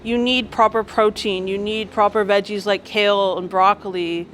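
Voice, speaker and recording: A woman's voice: medium pitch, steady tone, normal speed, a bit bright, faint music behind, low-quality recording. A woman giving a casual, informal personal account in a documentary interview. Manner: informal, conversational.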